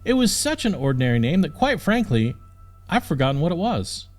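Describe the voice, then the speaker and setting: Male narrator, scripted storybook reading, expressive, lively intonation, slightly high pitch, faint music behind. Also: a little fast. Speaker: audiobook narrator.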